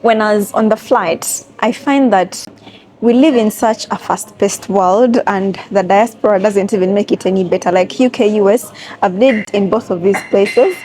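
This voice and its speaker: A woman's voice: medium pitch, normal speed, mellow. Chatty young woman vlogging. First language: Luganda. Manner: chatty, reflective, speaks straight to viewers. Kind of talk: casual travel vlog chat. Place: cafe and airport, indoors.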